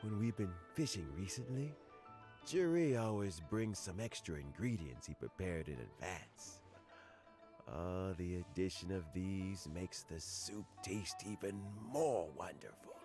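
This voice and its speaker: An older man voicing a wise elderly fisherman in a video game, speaking in a measured, earnest way over game music. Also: sits low. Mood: nostalgic. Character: wise elderly fisherman and official, calm and fair. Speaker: elder who appoints an assessment officer. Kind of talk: game voice acting.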